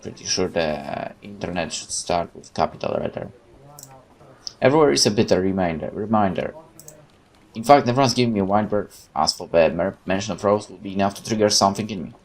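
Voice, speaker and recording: Low-voiced man narrating a game. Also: expressive, a little fast. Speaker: gaming channel host. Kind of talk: reading visual novel narration aloud with casual commentary.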